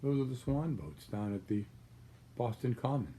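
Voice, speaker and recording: A man in his sixties reading a children's storybook aloud like a grandfather, pausing to explain the pictures to small children. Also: mid-range voice, lively intonation, dark tone, a little hiss or hum. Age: 60s. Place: small room at home, indoors.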